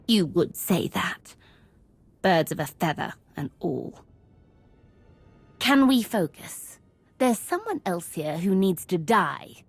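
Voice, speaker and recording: A woman's voice: lowish voice, very animated, takes it slowly, long pauses, husky, no echo, heavily acted. An actress playing a bitter, powerful young woman in a video game, sardonic and contemptuous toward her family. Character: bitter, sarcastic young adult daughter, cruel and powerful. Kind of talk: video game character acting.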